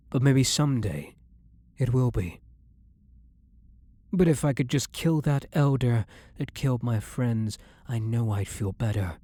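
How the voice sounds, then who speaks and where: A man's voice: medium pitch, mellow, dry recording. A man playing a blunt, guilt-ridden vampire hunter who is secretly a vampire, in an intimate ASMR audio roleplay monologue. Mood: brooding, regretful.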